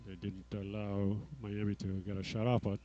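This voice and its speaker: Low, mellow male play-by-play caller. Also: normal speed, muffled recording, slight background noise. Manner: steady play-by-play calls, asks questions of his partner. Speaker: sports commentator. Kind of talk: live soccer play-by-play.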